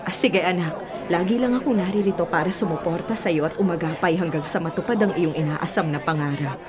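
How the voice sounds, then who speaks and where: A woman's voice: lowish voice, brisk, a loud crowd, close to an everyday voice. A woman acting as a hardworking, self-sacrificing middle-aged widowed mother in a Filipino radio drama, earnest and long-suffering. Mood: proud, tender.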